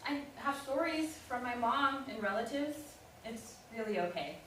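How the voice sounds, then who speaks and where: Polite young woman actor, highish voice, echoey. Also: lively intonation, moderate pace, a bit bright. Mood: awkward, gentle. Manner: hesitant, courteous. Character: polite, patient young woman guest, gently correcting. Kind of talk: live staged play reading. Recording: very echoey room, distant mic, hiss.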